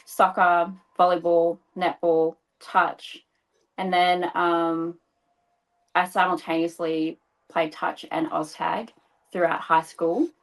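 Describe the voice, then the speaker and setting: A woman's voice: lowish voice, little pitch movement, takes it slowly, low-quality recording. A woman in her thirties, a podcast guest chatting casually over a video call, laughing often and explaining things patiently. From Australia. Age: 30s. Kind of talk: casual interview chat.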